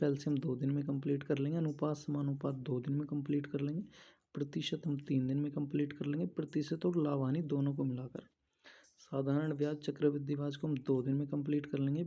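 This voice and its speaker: A man's voice: a little high, mellow. A man giving instructional exam advice as a coaching teacher, going methodically point by point. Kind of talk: instructional study-strategy talk. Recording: dull lo-fi sound.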